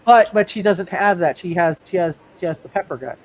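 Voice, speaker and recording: A man narrating a tabletop roleplaying game as game master, speaking haltingly and often repeating his words. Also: medium pitch, low-quality recording.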